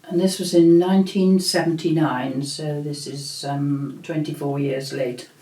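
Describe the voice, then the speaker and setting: An older woman host recalling family memories in a slow, reflective storytelling manner, with frequent pauses and fillers. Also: sits low, steady tone, mellow. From England. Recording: echoey room.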